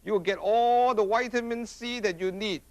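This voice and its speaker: A man in a played television clip, demonstrating food in an excited, emphatic way. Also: high-pitched, moderate pace, no echo.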